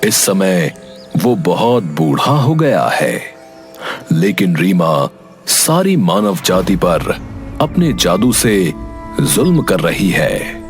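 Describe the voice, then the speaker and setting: Guy doing wise old-world holy man in a fairy tale, solemn, slow, low voice. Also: sing-song, heavily acted. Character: solemn all-seeing male sage, fatherly. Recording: music behind the voice.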